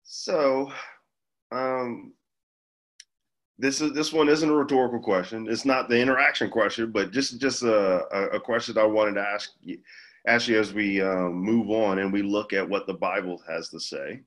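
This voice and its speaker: A man speaking as a pastor, giving an informal Bible teaching in a measured, halting way with pauses mid-phrase. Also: on the low side, fairly even intonation, a bit bright. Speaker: pastor leading a church group.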